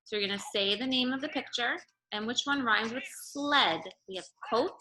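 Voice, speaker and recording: A woman's voice: on the high side, moderate pace, pushed and bright, low-quality recording. A woman teacher giving a remote read-aloud lesson for young children, explaining simply and often checking in with a short question. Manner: instructive, checks in with "okay" and "right?". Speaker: early-grade teacher.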